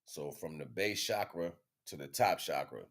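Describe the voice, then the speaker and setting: A man's voice: extremely low pitch, animated, normal speed. A man hosting an informal spiritual teaching livestream, talking in slang with emphatic, repeated phrases. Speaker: livestream host.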